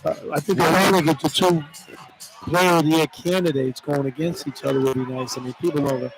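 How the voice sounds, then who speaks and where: A man working as a radio color analyst, chatting casually about sports in halting, loose phrases on a headset mic. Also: a little high, flat delivery, over loud music, poor audio. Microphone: broadcast headset mic.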